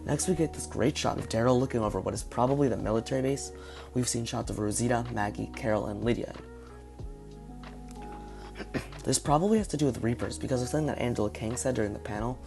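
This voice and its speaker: Hoarse fast-talking man with gravelly low voice. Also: music in the background. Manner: speculative, thinking aloud. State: hoarse, partly lost voice. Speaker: video reviewer. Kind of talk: casual video commentary.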